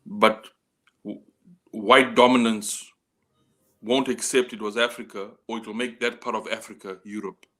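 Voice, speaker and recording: A man speaking in a measured discussion, deliberate and halting, often repeating a word before going on. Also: fairly low pitch, little pitch movement, a bit bright.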